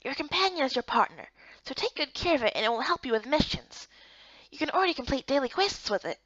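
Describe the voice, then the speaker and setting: A woman's voice: high voice, very animated, quick pace, a bit bright, dry recording, lo-fi sound. A woman voicing a patient, helpful male guild guide in a visual novel game, speaking politely and instructively.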